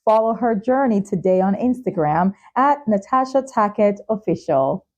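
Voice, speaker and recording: A woman hosting a talk show, presenting in a measured, carefully enunciated way. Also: medium pitch, animated, darkish tone. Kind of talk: scripted show introduction and sponsor reads, interviewing.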